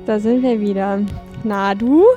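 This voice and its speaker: A woman's voice: mid-range voice, steady tone, unhurried, dark tone, dull audio, soft background music. A young woman co-hosting a podcast in casual chat, talking a little thickly after having her wisdom teeth out and laughing often. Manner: chatty, laughs often, self-deprecating. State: slightly swollen cheeks after wisdom tooth removal. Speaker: podcast co-host.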